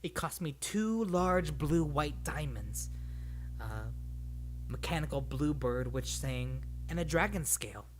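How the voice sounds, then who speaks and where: A small, hairy man of the woods out of a fantasy storybook, as a reader puts him on. He talks in a gruff, bossy way at a medium pitch. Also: lively intonation, slow, soft background music. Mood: savoring. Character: little hairy old-world forest man, gruff and bossy.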